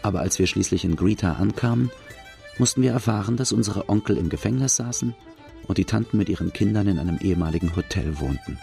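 Rueful outlaw narrator, very low pitch, creaky voice, plain reflective storytelling, radio drama. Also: male voice, animated, fast, dark tone, faint music behind, exaggerated voice. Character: rueful male outlaw recounting his hard childhood.

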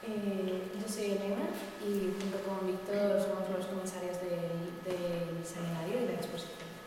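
Woman curator, flat delivery, hesitant and halting. Distant mic in a noisy, reverberant hall. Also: on the low side. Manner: halting, full of pauses and restarts. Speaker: seminar curator and moderator. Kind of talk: introducing a seminar session. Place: seminar room. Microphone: distant room microphone. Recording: echoey hall from far off, noisy.

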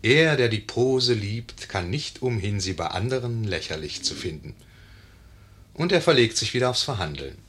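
Storyteller, wry, measured, deepish raspy voice, 1950s radio play narration. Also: male voice, big pitch swings, a bit bright, heavily acted. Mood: wry, amused. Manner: wry, measured, addressing listeners. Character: wry, discreet male storyteller commenting on the scene.

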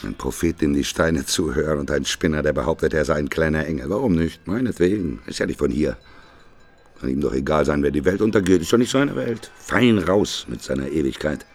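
A man's voice: very deep voice, expressive, a little fast, full character voice. A man acting in a German radio play as a weary, bitter prophet of doom, sardonic and declamatory. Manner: sardonic muttering rising to declamatory proclamation.

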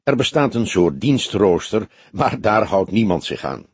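A man voicing a composed, frank older woman in an audiobook, speaking softly in a measured, genteel, thoughtful way. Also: lowish voice, big pitch swings, husky, dry recording, exaggerated voice. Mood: reflective, matter-of-fact. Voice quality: soft, friendly voice. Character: older woman club member, composed, soft-spoken, frank. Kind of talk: audiobook character dialogue.

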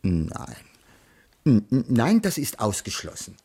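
An actor playing a pedantic, hesitant school colleague with a formal, halting manner in a radio crime drama. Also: male voice, mid-range voice, wildly swooping pitch, warm tone, slightly rough, heavily acted. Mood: flustered. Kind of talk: 1980s German radio crime drama acting.

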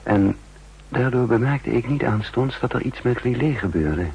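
An actor playing an intense, guilt-ridden double agent who narrates his own downfall in a 1960s Dutch radio drama, with a husky voice and deliberate dramatic delivery. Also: medium pitch, darkish tone, low-quality recording. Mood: tense, resigned. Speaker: lead actor and first-person narrator.

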